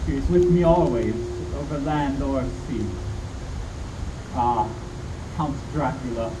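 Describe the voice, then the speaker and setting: An actor playing a grave, commanding vampire-hunting professor with emphatic, theatrical radio-play delivery. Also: male voice, mid-range voice, takes it slowly, close to an everyday voice. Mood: hushed, probing. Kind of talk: 1930s-style radio play acting. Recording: echoey hall, distant mic, background noise.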